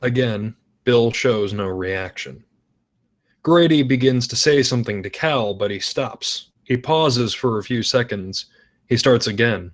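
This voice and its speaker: A man's voice: medium pitch, lively intonation, unhurried. A man reading out stage directions as narrator at a script table read.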